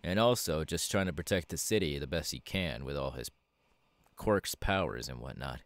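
A man voicing a laid-back, cocky young winged superhero in a casual ASMR audio roleplay, talking slangily with hesitant pauses. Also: a bass voice, lively intonation, dry recording. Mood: musing, easygoing.

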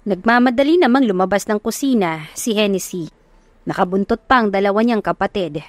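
A woman's voice: medium pitch, fairly quick, no echo. A woman with a Filipino accent narrating a scripted love story in a dramatic storytelling style. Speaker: audiobook narrator.

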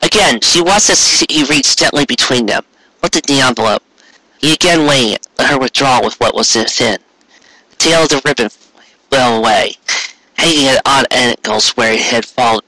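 Guy reading a story out loud, mid-low bright voice, really dry sound, trips on words a bit. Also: dry recording, poor audio. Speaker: amateur fan fiction reader. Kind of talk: scripted narration, storybook reading.

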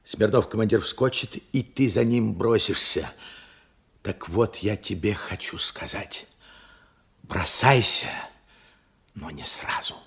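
An actor in a radio play playing a weary, regretful old war veteran, hoarse and urgent in a halting delivery. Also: male voice, on the low side, heavily acted. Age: older. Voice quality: hoarse, husky, cracked. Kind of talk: Soviet radio play acting.

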